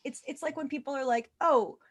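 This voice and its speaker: A woman's voice: mid-range voice, expressive, moderate pace. A woman speaking casually as an expert guest in a webinar, emphatic and repeating phrases to stress her points. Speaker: guest physician and nonprofit founder. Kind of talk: casual webinar talk about esports advocacy.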